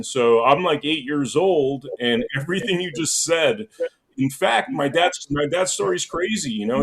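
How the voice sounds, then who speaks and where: A man's voice: mid-range voice, low-quality recording. A man from Los Angeles hosting a podcast interview in a casual chatty way, often saying "man" and "like". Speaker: podcast host.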